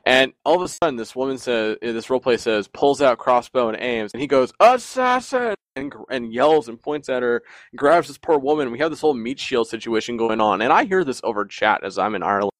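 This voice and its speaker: A man's voice: medium pitch, brisk, few pauses, no room sound. A man in casual podcast chat, telling long rambling stories with frequent laughs. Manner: rambling, animated storyteller who often laughs.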